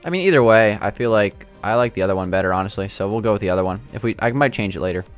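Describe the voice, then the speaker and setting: A young man with a slightly raspy, sore throat, thinking aloud with lots of fillers as he builds in a game. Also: fairly low pitch, racing, soft background music. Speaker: gaming channel host. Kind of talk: casual gameplay commentary.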